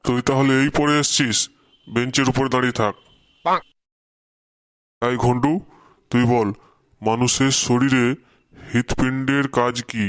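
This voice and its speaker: A man's voice: mid-range voice, fairly even intonation, unhurried, pauses often, smooth, no echo, clean studio sound, close to an everyday voice. A man voicing a strict, exasperated middle-aged schoolteacher in a Bengali comedy cartoon, scolding with a Bengali accent. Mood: stern. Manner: scolding, threatening.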